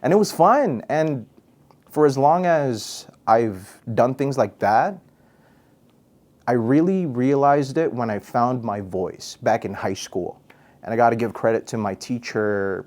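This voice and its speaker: Young man from Guam, radio host and MC, relaxed and playful, casual interview tone. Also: mid-range voice, a little slow. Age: young adult. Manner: goofy, laid-back, chatty with fillers. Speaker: radio personality and MC, interview guest.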